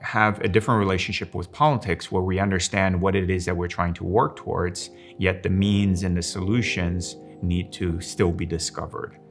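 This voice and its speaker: An older man, a strategic designer from Finland who grew up in Rome, speaks in a thoughtful, measured way and slows down to stress key words. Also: low-pitched, fairly even intonation, faint music behind. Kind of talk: reflective interview monologue.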